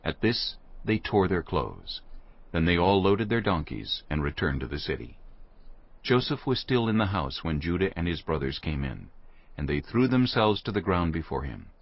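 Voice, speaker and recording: A man's voice: sits low, sing-song, moderate pace. A man narrating scripture from a script as storyteller in a dramatized audio production. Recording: slightly dull studio recording.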